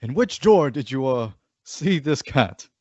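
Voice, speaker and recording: A man's voice: medium pitch, big pitch swings, a little slow, a little mellow. Man as skeptical, swooping-voiced businessman. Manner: formal, sardonic. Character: skeptical, stern businessman and father. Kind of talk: old-time radio comedy acting. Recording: dull lo-fi recording.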